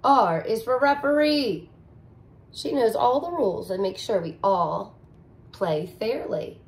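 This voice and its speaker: A woman teacher reads a children's picture book at an unhurried pace. Her pitch rises and falls a lot in a sing-song storybook style, with soft little asides between pages. She sounds close to the camera in a living room. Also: animated. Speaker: teacher reading aloud. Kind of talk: picture book read-aloud for young children. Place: living room with couch, indoors.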